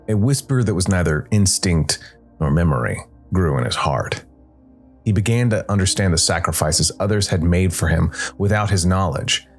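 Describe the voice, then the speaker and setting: A man with a low, deep voice narrates a scripted tale as a podcast host. His delivery is measured and deliberate, shaped like careful storytelling. Faint music plays underneath him.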